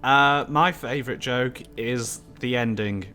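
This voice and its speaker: A man's voice: medium pitch, measured pace, sharp tone. A man hosting a question-and-answer discussion in a casual, conversational style. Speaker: host of a Q&A discussion. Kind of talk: casual chat. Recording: music playing behind the voice.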